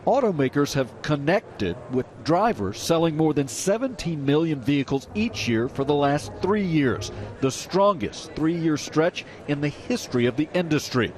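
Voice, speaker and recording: A man's voice: a little high, big pitch swings, normal speed, a little mellow, slight background noise. An American man narrating a scripted TV news package as a correspondent. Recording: narration over prominent music.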